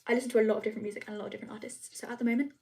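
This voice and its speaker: A woman's voice: on the high side, steady tone, very fast, background noise. A young woman chatting casually with her viewers on a live stream, rambling with lots of fillers. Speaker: vlogger answering chat.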